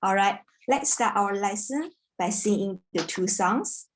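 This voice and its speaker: Slow, patient woman teaching English online. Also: mid-range voice. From China. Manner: slow, patient, clear teacher talk with sung rhymes. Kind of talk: online children's English class. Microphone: video call.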